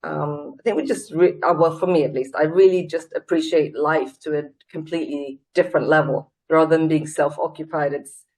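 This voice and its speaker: A woman's voice: low-pitched, steady tone, brisk, a little mellow, low-quality recording. A Swedish-born woman, a CEO, talking in a casual interview over a video call, earnest and reflective with many fillers and restarts. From Sweden.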